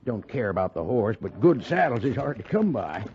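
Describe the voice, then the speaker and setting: A man's voice: mid-range voice, very animated, moderate pace, a little mellow. A man playing a folksy, sly frontier stagecoach attendant with an American Western drawl in a 1950s radio western. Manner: drawling, garrulous, salesmanlike. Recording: muffled, hissy 1950s radio recording.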